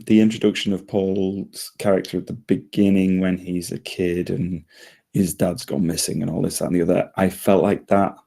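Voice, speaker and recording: A man's voice: low-pitched, gravelly, warm tone. A man giving casual feedback in a group discussion, speaking in a hesitant, rambling and polite way. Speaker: script reader giving feedback.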